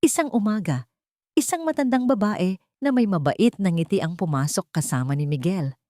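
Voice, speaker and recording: A woman's voice: medium pitch, lively intonation, normal speed, mellow, dry recording, clean studio sound. A woman reading a scripted romance story as a dramatic narrator.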